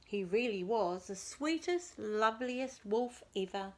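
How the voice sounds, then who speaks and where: A woman storyteller reading a children's tale expressively and deliberately, as if to young listeners. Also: mid-range voice, expressive. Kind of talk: scripted children's story narration.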